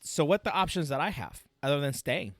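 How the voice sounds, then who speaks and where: A man's voice: fairly high pitch, expressive, a little fast, dry recording. A man in his thirties from Iraq, a native Arabic speaker with an Iraqi accent, tells a personal war story in an interview, emphatically and with repeated phrases. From Iraq, Baghdad. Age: 30s. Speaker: podcast guest, military veteran.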